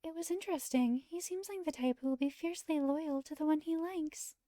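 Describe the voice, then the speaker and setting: A woman voicing a shy, polite young angel girl as a soft-spoken houseguest in a visual novel. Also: high voice, fairly quick, dry recording, studio quality, pitched above the performer's own voice, lightly acted. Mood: shyly apologetic. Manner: polite, demure, gentle. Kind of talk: visual novel dialogue read aloud.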